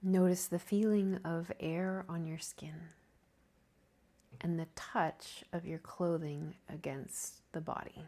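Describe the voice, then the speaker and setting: A woman teaching yoga nidra, leading a guided meditation in a gentle, calming, softly breathy way. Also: lowish voice, slow pace. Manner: calming, gentle, soft-spoken with breathy softness.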